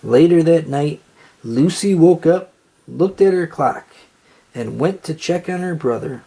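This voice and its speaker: Children's storybook narrator, medium pitch, very lively intonation, husky edge, read-aloud delivery. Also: unhurried. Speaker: storybook reader.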